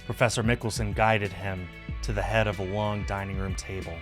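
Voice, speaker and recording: A man's voice: fairly low pitch, flat delivery, brisk, over music. A man reading a visual novel aloud on a stream as scripted story narration.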